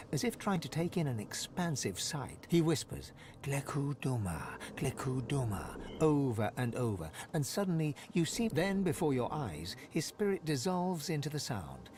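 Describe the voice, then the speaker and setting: A man narrating a fantasy video game in a measured, dramatic storytelling manner. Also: mid-range voice, background noise, lightly acted. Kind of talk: scripted narration.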